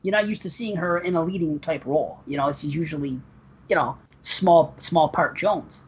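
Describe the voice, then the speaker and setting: A man's voice: a little high, moderate pace. Podcast co-host, male, rambling talker, slightly muffled audio with faint hiss. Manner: rambling, many fillers and restarts. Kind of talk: casual chat. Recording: slightly dull, faint noise.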